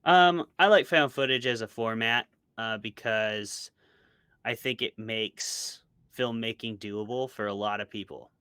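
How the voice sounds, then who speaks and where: A man with a low voice and a bright, forceful edge talks in a casual interview. He offers opinions and works through examples in a conversational way. The sound is dry and close. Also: a little slow, smooth, no echo. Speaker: interview guest, author and podcast co-host.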